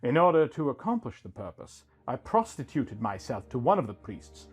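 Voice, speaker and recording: A man reading a scripted first-person story as a dramatic narrator, in a theatrical, deliberate manner. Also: medium pitch, very animated, slightly rough, soft background music.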